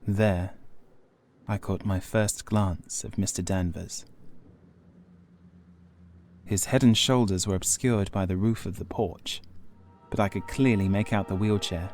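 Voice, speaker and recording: Low-voiced man narrating gothic horror over music. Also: darkish tone. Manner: measured, formal literary storytelling. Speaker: audiobook narrator. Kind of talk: scripted first-person gothic horror narration.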